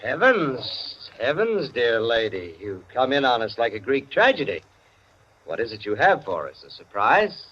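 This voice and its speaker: A theatrical, flippant party guest from a 1940s radio play. His bright voice swings widely in pitch. The recording is dull and old. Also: big pitch swings, unhurried. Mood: playful. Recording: muffled, faintly noisy 1940s radio recording.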